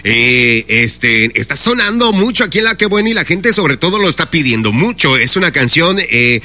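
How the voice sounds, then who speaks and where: A man's voice: a little high, sing-song, normal speed, bright, forceful tone. A man with a Mexican accent hosting a radio interview, playful and full of slang. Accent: Mexican Spanish. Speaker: radio host.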